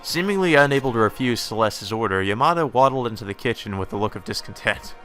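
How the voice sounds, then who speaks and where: Man, let's player, bright voice, middle pitch, scripted visual novel narration, faint background music. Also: expressive, quick pace. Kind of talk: scripted narration with light reactions.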